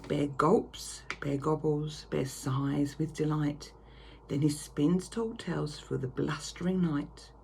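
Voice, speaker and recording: A woman reading a picture story aloud to children with theatrical, drawn-out emphasis. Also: low-pitched, fairly even intonation, darkish tone. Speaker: primary school reader. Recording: clear background noise.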